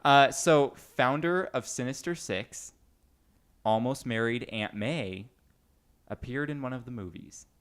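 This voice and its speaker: A man hosting a podcast in a casual group chat and running a trivia game, informal and quick to laugh. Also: mid-range voice, a bit bright, smooth. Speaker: podcast host and quizmaster.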